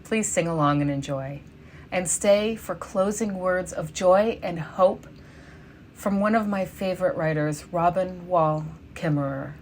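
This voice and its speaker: Earnest woman reading a sermon, low voice. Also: measured pace, darkish tone. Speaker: lay sermon speaker. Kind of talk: read sermon.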